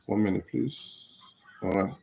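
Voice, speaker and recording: A Nigerian man whose first language is Igbo, hosting a casual live stream and chatting with viewers, unhurried with many fillers. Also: fairly low pitch, vocal fry, mellow, a little hiss or hum.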